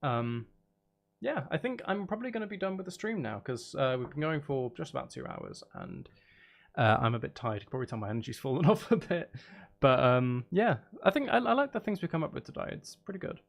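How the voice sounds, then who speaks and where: Gravelly man chatting casually on stream. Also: a little high, big pitch swings, fairly quick. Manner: rambling, thinking aloud. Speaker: live streamer. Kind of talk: casual livestream chat while making music.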